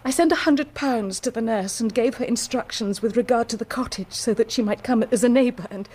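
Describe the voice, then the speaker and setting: An actress playing an anguished, dignified married woman confessing a secret, speaking formally and with deliberate passion in a period radio drama. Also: on the high side, darkish tone, lightly acted. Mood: anguished confession. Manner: formal, deliberate, impassioned, declamatory.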